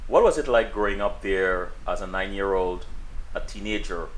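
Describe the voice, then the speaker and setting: A man's voice: sits low, moderate pace, slight background noise, rough recording. A man interviewing a guest, asking brief, polite questions.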